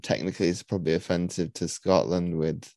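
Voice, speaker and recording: A man's voice: low-pitched, flat delivery, fairly quick, a little mellow, no room sound, studio quality. A man hosting a podcast interview, talking casually and rambling, often searching for words and restarting. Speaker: podcast host.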